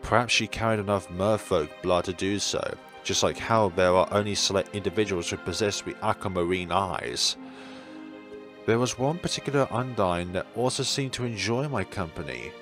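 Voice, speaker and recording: A man's voice: sits low, moderate pace, over music. A man reading a visual novel's scripted narration and dialogue aloud. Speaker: video game player reading the story aloud.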